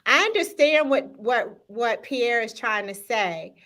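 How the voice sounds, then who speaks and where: Woman host, upbeat and engaging, bright and fairly high voice, speaks directly to viewers. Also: lively intonation, measured pace. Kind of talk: live-streamed talk show segment.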